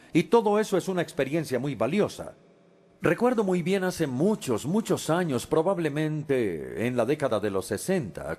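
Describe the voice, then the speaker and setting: A man giving a lecture as a Spanish voice-over, measured, with frequent pauses and hesitations. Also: fairly high pitch, a little mellow.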